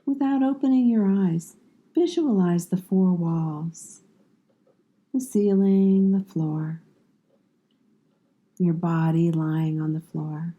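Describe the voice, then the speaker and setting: Very mellow, slow woman guiding meditation. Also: lowish voice. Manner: calm, soothing, measured with long pauses. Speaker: yoga nidra instructor. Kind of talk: guided meditation, scripted slow instructions.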